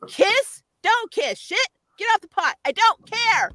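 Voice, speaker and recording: Bright-voiced, emphatic woman. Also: very high voice, very animated, measured pace, a little hiss or hum. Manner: exasperated, emphatic ranting, laughs often. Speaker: host of a pop culture review show. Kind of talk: casual, opinionated TV recap chat with a co-host.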